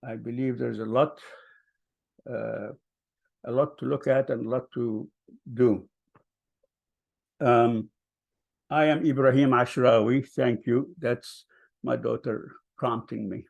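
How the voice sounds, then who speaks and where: Slow gravelly older man. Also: mid-range voice, fairly even intonation. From Palestine. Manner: hesitant, many ums, soft-spoken. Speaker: vigil speaker. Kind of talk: personal storytelling.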